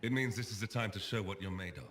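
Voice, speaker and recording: Man as a low, cryptic imperial agent. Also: fairly bright tone, a little hiss or hum, natural voice. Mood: intrigued, cryptic. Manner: guarded, measured, insinuating. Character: cryptic, secretive man scouting fighters for the Emperor. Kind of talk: video game dialogue.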